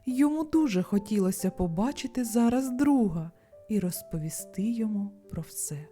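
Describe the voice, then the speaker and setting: A woman's voice: medium pitch, expressive, normal speed, mellow. A woman storyteller narrating a bedtime fairy tale expressively for children. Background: music.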